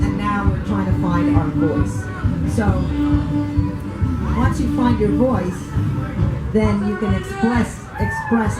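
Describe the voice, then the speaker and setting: A woman's voice: mid-range voice, roomy sound, low-quality recording. A woman from New York City, a singer-songwriter, leading an audience discussion and asking the room questions, with many restarts. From United States, grew up in New York City. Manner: conversational, restarts often, poses questions to the room. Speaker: workshop facilitator and singer-songwriter. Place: indoor venue with an audience.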